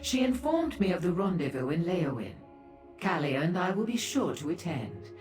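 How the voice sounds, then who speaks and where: A woman voicing a haughty, composed sorceress in a fantasy game, measured, formal and aloof. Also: deep voice, darkish tone, echoey room. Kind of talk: scripted fantasy game acting.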